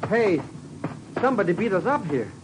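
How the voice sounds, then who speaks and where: An actor playing a shifty, wheedling petty informer as comic relief in a 1950s radio drama, ingratiating and excitable. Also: male voice, sits high, moderate pace. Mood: defensive. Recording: faintly noisy vintage radio recording.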